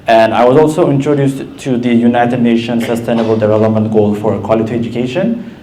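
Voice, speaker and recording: Lower-voiced young man from Ethiopia. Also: a little hiss or hum. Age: 20s. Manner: steady, clear, frequent "uh" and "like". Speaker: student presenter. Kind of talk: prepared app presentation. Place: event stage.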